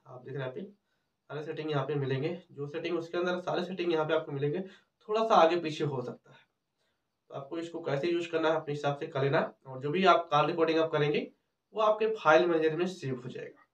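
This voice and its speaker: A man's voice: fairly high pitch, fast. A man in his thirties explains things step by step to camera as a tutorial host, often repeating himself. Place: small indoor room with cloth backdrop. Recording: roomy, distant, dull microphone sound.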